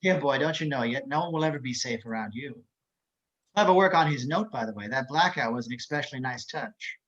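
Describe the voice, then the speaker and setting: A man playing a cultured, menacing killer psychiatrist in a dramatic table read, measured and taunting, with theatrical pauses. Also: medium pitch, expressive, fairly bright tone, natural voice. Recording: dull lo-fi video call.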